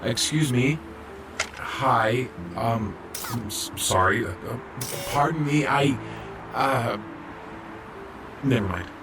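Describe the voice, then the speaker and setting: A man's voice: medium pitch, expressive, takes it slowly, pauses often, recorded from a distance, over music. A man playing a shy, awkward young robot medic in an audio drama, hesitant, stammering and apologetic. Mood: flustered, awkward. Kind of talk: fan audio drama acting.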